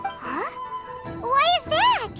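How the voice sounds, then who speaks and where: A woman voicing a tiny, childlike fairy companion in a video game, chirpy, curious and excitable. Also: extremely high pitch, extremely slow, loud music behind the voice, full character voice. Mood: surprised. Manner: refers to self in third person, excitable.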